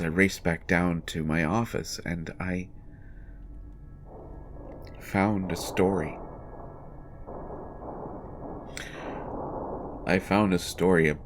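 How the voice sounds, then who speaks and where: A man playing a distraught, haunted writer in prison, telling his horror story in a halting, stammering first-person monologue. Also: deep voice, slight background noise. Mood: uneasy, haunted. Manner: halting, stammering, repeating words. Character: haunted, distraught male writer jailed for his son's death.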